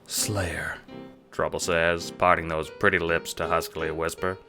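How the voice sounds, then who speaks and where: A man narrating a fan audio drama in a dramatic, measured noir style. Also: low voice, level tone, over music. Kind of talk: second-person noir narration.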